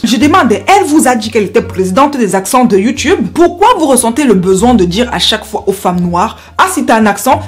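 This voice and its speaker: A woman from Cameroon with a Cameroonian French accent, speaking straight to her viewers in an impassioned vlog and repeating herself for emphasis. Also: mid-range voice. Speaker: YouTuber.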